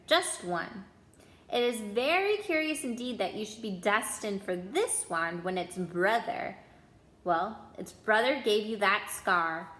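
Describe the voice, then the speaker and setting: A woman's voice: medium pitch, animated, measured pace, sharp tone, reverberant. A woman voicing an eccentric old male wand seller in a storybook, eager and eerie, musing and repeating words to himself. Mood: grave, eerie.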